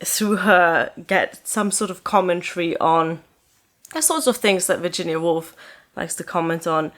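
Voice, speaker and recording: A young woman whose first language is German, chatting casually to camera about her books from a sofa in her living room, in a relaxed, rambling way with frequent ums. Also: on the low side, unhurried. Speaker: book vlogger.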